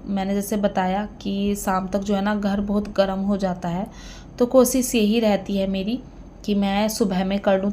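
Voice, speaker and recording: A woman in her thirties talks through her housework as a voice-over, chatty and unhurried, with plenty of fillers. Her pitch stays fairly flat and even. A faint hiss sits under the recording. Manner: chatty, unhurried, many fillers and tag questions. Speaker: vlogger. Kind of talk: voice-over narration of a home vlog.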